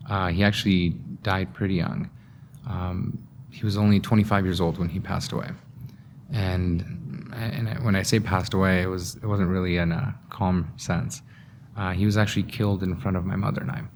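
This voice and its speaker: A young man from Afghanistan giving a personal account in a documentary interview. He speaks slowly and haltingly, with pauses and restarts. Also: sits low, slight background noise.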